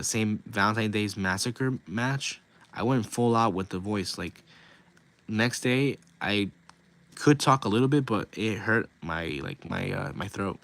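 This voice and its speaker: A man hosting a casual livestream, rambling with lots of fillers, his voice raspy and hoarse from talking nonstop. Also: fairly low pitch, a bit bright. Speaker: livestream host and wrestling video creator.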